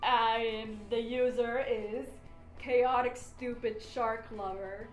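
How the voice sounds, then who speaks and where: A woman's voice: a little high, fairly even intonation, slow pace, soft background music. A woman chatting casually in an offhand, self-deprecating way. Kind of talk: casual chat. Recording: echoey room.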